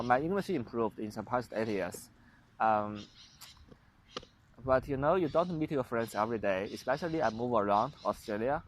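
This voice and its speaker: Young male vlogger, Chinese accent, hesitant and unpolished, slight background hiss. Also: mid-range voice. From China. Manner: halting, many fillers and restarts. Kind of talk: casual monologue to camera.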